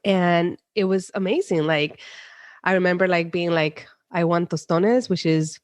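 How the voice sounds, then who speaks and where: A woman's voice: lowish voice, fairly even intonation, a little slow, dry recording, studio quality. A woman from Puerto Rico with a Puerto Rican accent, a psychologist telling stories in a casual interview with many fillers and restarts. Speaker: psychologist guest.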